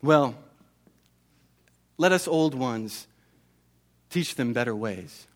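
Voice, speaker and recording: A man voicing a kindly old shoemaker who speaks gently and quietly. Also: medium pitch, animated, drawn-out words. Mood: gentle.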